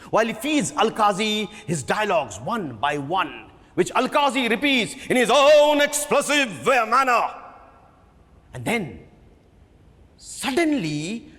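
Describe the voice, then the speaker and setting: A man with an Indian accent gives a dramatic literary reading, theatrical and emphatic, with dramatic pauses. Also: high voice, a bit bright. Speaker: stage actor giving a dramatic book reading. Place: large auditorium at a live reading. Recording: echoey hall with long reverb.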